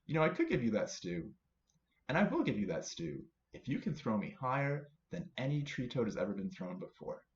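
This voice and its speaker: A man's voice: medium pitch, huge pitch swings, a little fast. In a roomy, slightly dull recording, a man acts out a little storybook tree toad. He is coaxing and self-assured, a small trickster who likes to taunt. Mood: sly, taunting. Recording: roomy, dull home recording with faint noise.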